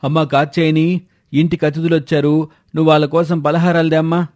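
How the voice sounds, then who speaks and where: A man's voice: on the high side, flat delivery, brisk, darkish tone, no room sound, clean studio sound, natural voice. A man playing a hospitable village father in a storybook drama, with an eager, deferential manner. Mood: welcoming.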